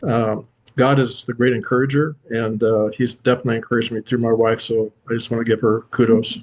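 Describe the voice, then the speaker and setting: Man, sincere, warm praise, mid pitch, video call. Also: flat delivery, brisk, poor audio. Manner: sincere and warm about his wife. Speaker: ministry leader.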